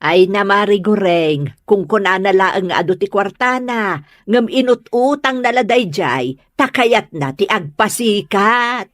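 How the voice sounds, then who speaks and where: A woman playing a chatty, expressive village woman in a comedy radio drama. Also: mid-range voice, a little slow, no room sound, clean studio sound, lightly acted. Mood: gossiping.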